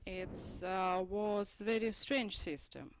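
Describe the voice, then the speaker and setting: A woman from Moldova with a Russian accent and Russian as her first language, speaking haltingly as an interview guest. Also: mid-range voice, expressive, slight background noise. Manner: halting, searching for words.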